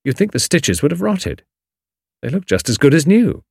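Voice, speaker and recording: A man's voice: fairly high pitch, big pitch swings, normal speed, creaky, a little mellow, slightly rough, no echo, clean studio sound, voice pushed up, heavily acted. A man voicing an uneasy but eager young British adventurer, clipped and understated, in an audiobook story. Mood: musing.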